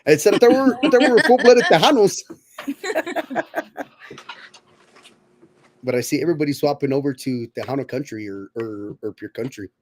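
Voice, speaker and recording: A man's voice: a little high, big pitch swings, normal speed, pauses often, slight background noise. A man in his thirties hosting a casual podcast chat, rambling and often repeating his words. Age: 30s. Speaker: podcast host.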